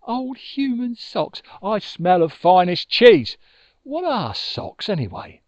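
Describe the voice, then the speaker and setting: A man's voice: sits high, very animated, slow pace, no room sound, dull audio, voice pushed up, heavily acted. A man voicing the bewildered, ailing and easily indignant Moon in a told story, hesitant and repeating questions.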